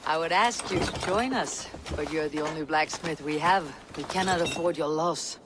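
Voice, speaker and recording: An actress playing a loyal, plain-spoken Viking warrior woman in a video game, resolute and measured. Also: deep voice, background noise, natural voice. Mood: steady, resolute. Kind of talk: dramatic video game acting.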